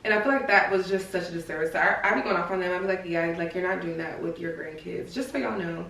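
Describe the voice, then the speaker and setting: A woman's voice: on the low side, fast, gravelly, a bit bright, far from the mic. A woman podcast co-host chatting casually in a laid-back, teasing, slangy way. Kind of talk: casual chat. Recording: echoey room, off-mic.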